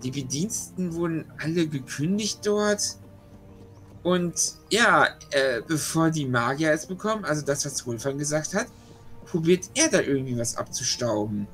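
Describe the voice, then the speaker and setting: A man's voice: on the high side, lively intonation, normal speed, fairly bright tone, soft background music, low-quality recording. A man speaking casually as a player in a tabletop role-playing session. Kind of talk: casual game chat.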